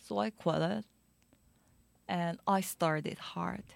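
A young woman with a Korean accent, a guest in an interview, speaking halting English with many fillers. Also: fairly low pitch, vocal fry, no room sound. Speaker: guest musician and DJ. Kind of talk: casual interview.

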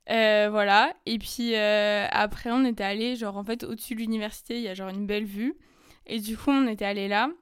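Young woman podcaster, casual and chatty storyteller, lots of fillers; crisp tone, dry recording. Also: medium pitch, dry recording. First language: French. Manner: chatty, many fillers and quick asides. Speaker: podcast host. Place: lives in Germany.

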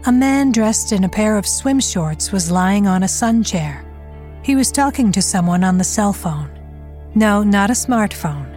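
A woman's voice: medium pitch, lively intonation, a little slow, a little mellow, faint music behind. A woman narrating a scripted crime audio drama.

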